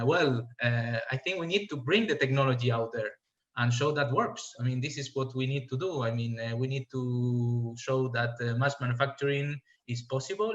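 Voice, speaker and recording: A man's voice: medium pitch, a bit bright, roomy sound, muffled recording. A man from Spain with a Spanish accent, presenting as a fuel cell scientist on a panel, hesitant with many filler sounds.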